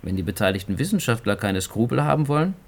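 A man playing a sceptical, world-weary journalist, speaking with a creaky voice and wry, lecturing manner in a radio drama. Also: medium pitch, very animated, fast talker. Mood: cynical. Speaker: supporting actor.

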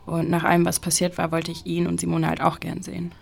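An actress playing a blunt, slangy young best friend with a gravelly, husky voice in an audio drama. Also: female voice, on the low side, monotone, fast, heavily acted. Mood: casual, recalling. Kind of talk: naturalistic audio drama acting.

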